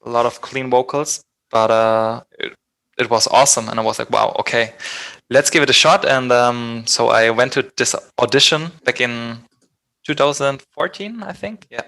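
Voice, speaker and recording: A man's voice: mid-range voice, normal speed, gravelly, sharp tone. A man from southern Germany with a German accent, speaking casually as an interview guest. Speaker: band vocalist, interview guest.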